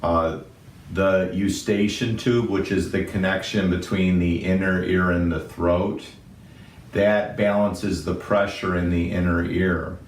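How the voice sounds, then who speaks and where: A male doctor with a booming voice, calmly explaining a medical condition in an unscripted talk. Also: takes it slowly, distant mic, a little hiss or hum. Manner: steady, methodical explaining in medical terms. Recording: roomy echo, somewhat off-mic, faint ambience.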